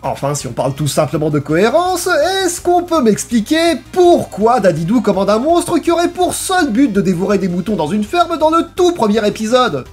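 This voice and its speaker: Lively narrator over music. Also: medium pitch, moderate pace, hardly any pauses. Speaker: video essay narrator. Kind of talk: scripted narration, review.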